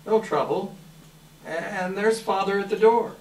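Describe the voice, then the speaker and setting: In an echoing hall, distant from the microphone, an earnest young son played by a man speaks in a fairly high male voice. He is gentle and grieving, delivered as a staged reading. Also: measured pace. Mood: gentle, wistful. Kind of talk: readers theater performance. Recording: echoey hall, far from mic.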